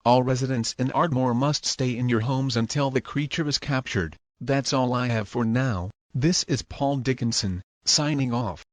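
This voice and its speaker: A man's voice: mid-range voice, normal speed, few pauses, no room sound, lightly acted. A man playing a television anchor reading a scripted breaking-news bulletin. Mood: formal.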